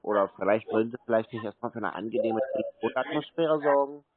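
A man's voice: on the low side, fast talker, over a noisy crowd. A man, stubborn and complaining in a casual, provocative phone conversation. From Germany. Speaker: prank call recipient baiting phone scammers. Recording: tinny, narrow phone line.

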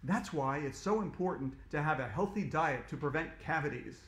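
A man's voice: fairly high pitch, animated, normal speed, natural voice. A man reading aloud, voicing a heroic female superhero who champions tooth care in a grand, declaiming way. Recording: echoey room.

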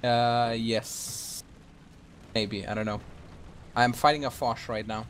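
A man with a mid-pitched voice chats casually through a game with a friend. He banters, swears freely and calls out what he sees, with clear game noise in the background. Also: fairly even intonation, takes it slowly. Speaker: gamer playing with a friend. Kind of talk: casual gaming chat, callouts.

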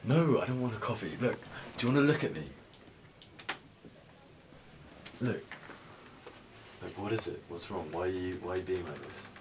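A young man in a naturalistic film drama. His voice is fairly low and he talks like a frustrated, sweary student. The indoor location sound has clear background noise. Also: expressive, brisk. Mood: pleading, frustrated. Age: young adult. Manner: informal, sweary. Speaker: film actor. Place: small bedroom, indoors.

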